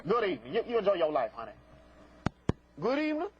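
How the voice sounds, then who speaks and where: Man, high voice, very animated, sassy scolding phone-in host, folksy colloquial speech, noisy lo-fi broadcast. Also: quick pace. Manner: sassy, scolding, theatrical, repeats catchphrases. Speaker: host of a live phone-in psychic show. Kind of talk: live call-in TV talk with prank callers. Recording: muffled lo-fi broadcast with clear noise, clicks.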